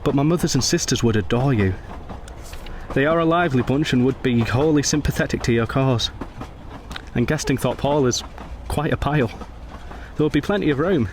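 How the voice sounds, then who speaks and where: A man playing a brooding, principled young Edwardian gentleman soldier in a radio drama, speaking and narrating in a formal, intense, literary way. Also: mid-range voice, normal speed, slight background noise, lightly acted. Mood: gentle, courteous.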